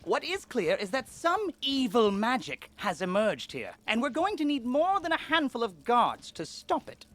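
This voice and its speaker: A woman voicing an eager, worried young guide in a fantasy video game, expressive and earnest. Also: on the high side, moderate pace, a little hiss or hum. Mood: concerned. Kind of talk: video game character acting.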